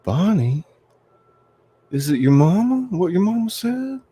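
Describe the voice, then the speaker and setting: A man's voice: high voice, very animated, measured pace, dark tone, slightly rough, no echo, pitched above the performer's own voice, exaggerated voice. A man voicing an affectionate, concerned young male outlaw, sweet-talking his lover in a folksy way. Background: faint music.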